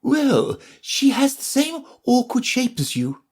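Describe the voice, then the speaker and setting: A man voicing a severe, sharp-tongued rose in a storybook reading, in a high, cutting, prim tone. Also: wildly swooping pitch, slow pace, husky, full character voice.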